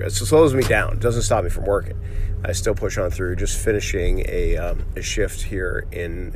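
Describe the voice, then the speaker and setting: A middle-aged man speaks with a congested, sniffly voice, nasal from a cold, as he hosts his own podcast. His talk is reflective and encouraging, dotted with ums and restarts. Faint street and car noise sits under the voice. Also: deep voice. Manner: reflective, motivational, halting with fillers and restarts. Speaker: podcast host, physician. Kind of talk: casual solo podcast monologue.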